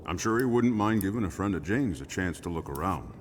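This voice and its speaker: A man's voice: sits low, expressive, brisk, slight background noise. An actor playing a genial, world-weary small-town male barkeeper in a video game drama, folksy, easygoing and wry. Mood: helpful, guarded.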